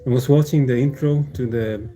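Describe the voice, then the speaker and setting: Deep-toned, rambling male streamer. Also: steady tone, a little fast, mellow, slight background noise. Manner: meandering, hesitant, many fillers and restarts, chuckles often. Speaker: livestreamer. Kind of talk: casual rambling stream chat.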